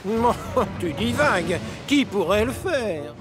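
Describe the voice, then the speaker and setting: A man's voice: sits high, very animated, slow, heavily acted. An actor voicing a proud, lazy big male engine who talks in a haughty drawl, in a French cartoon dub with music.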